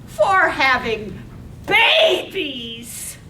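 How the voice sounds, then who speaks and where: A woman's voice: sing-song, very slow, bright, forceful tone, exaggerated voice. A woman playing a nosy, bossy wife in a poetic play, curt, querulous and full of disapproval. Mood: querulous, scornful. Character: carping, nosy, bossy wife gossiping spitefully. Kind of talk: poetic radio play read aloud on stage. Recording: echoey room, distant mic, faint rumble.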